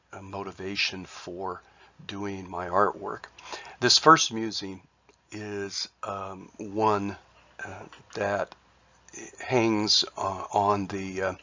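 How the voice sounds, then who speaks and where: A man with a cold, sounding congested, presenting his artwork in a halting way with many fillers and restarts. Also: fairly low pitch, fairly bright tone, dull audio. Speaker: artist sharing his work. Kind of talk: casual spoken presentation.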